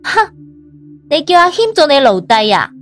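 An actress playing a possessive, sharp-tongued young girlfriend in an audio drama, demanding and indignant. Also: sits high, very animated, moderate pace, faint music behind. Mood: jealous indignation. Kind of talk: Cantonese audio drama acting.

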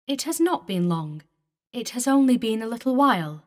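A gentle young female ghost speaks in a calm, sweet voice with a mournful edge, as a woman reads her. She sounds distant and wistful. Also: a little high, normal speed, voice pushed up.

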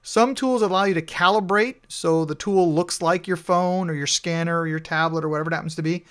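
A man with a fairly high voice narrates over slides. He explains in a relaxed, conversational way, peppering his talk with "you know". It sounds like a recorded voice-over. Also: brisk, clear, resonant tone. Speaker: network engineer reviewing a tool. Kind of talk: screen-recorded slide walkthrough.

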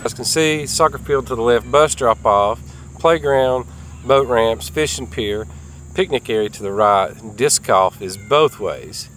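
A man's voice: mid-range voice, measured pace, a bit bright. Man chatting casually, outdoor noise behind him. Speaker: travel vlog host. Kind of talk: casual chat to camera. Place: outdoors at a park campground.

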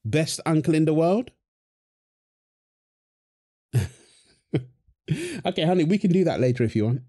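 A man's voice: fairly high pitch, animated, measured pace, mellow. A man performing a wholesome audio roleplay as a husband and dad, teasing his partner and cooing fondly at their baby with a playful manner.

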